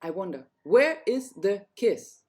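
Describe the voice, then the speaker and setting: A woman's voice: mid-range voice, very animated, slow pace, warm tone. A woman storyteller narrating a fairy tale, reading it aloud.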